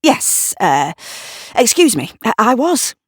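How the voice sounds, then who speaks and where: A woman's voice: on the high side, big pitch swings, slow pace, breathy edge, no echo, studio quality, voice pushed up, heavily acted. A woman voicing a flustered, sheepish male neighbour in an audio drama, hesitant and easily indignant.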